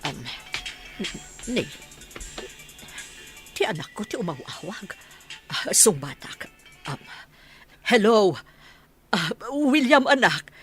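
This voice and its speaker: A woman's voice: mid-range voice, sing-song, takes it slowly, pauses often, faint music behind. A woman playing an anxious, loving mother of a soldier in a radio drama, emotional and exclamatory. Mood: emotional, hopeful.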